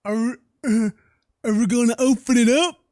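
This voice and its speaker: A man hosting a gaming video, reading game text aloud and commenting in a sarcastic, animated way on a close mic. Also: very high voice, moderate pace, dry recording. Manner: sarcastic, animated when incredulous.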